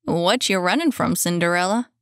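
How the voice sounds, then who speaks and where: A woman's voice: fairly low pitch, moderate pace, a bit bright, clear, resonant tone, dry recording, clean studio sound, lightly acted. Smug young boy, played by a woman reader, mischievous and cocky, clipped slangy storybook quips. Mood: smug teasing.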